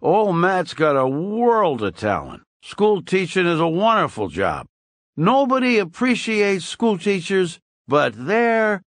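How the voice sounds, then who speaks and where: A man's voice: high voice, huge pitch swings, takes it slowly, no room sound, studio quality, voice pushed up, heavily acted. A man voicing a drunk, boastful old male barfly with folksy, bawling talk. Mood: boozy.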